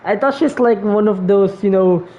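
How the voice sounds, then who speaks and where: A woman's voice: mid-range voice, moderate pace. A woman giving casual, rambling gameplay commentary in an informal way. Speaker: gameplay streamer. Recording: dull lo-fi mic over game noise.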